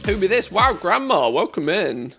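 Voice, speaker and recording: A man with an English accent giving relaxed, chatty commentary on a live game stream, reacting to play and greeting viewers. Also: high voice, normal speed, creaky, faint music behind. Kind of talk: casual live-stream commentary while gaming.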